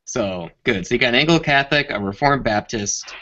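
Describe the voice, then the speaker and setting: A man's voice: mid-range voice, expressive, a little fast, pushed and bright. A man chatting casually as a participant in a group online call, with dull, lo-fi sound. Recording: dull lo-fi internet call audio.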